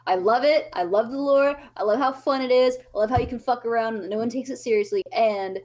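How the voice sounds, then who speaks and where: A woman's voice: a little high, a little mellow. A woman in her twenties, a podcast guest calling in remotely, talking casually and swearing freely as she rattles off stories. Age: 20s. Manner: chatty, swears freely, fast storytelling. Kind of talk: casual chat. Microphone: remote voice call.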